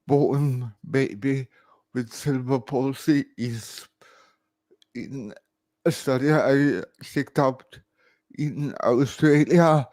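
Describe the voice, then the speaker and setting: Very slow, halting man. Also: mid-range voice, darkish tone, no room sound. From Sweden. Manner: halting, short broken phrases. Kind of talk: live personal talk to camera.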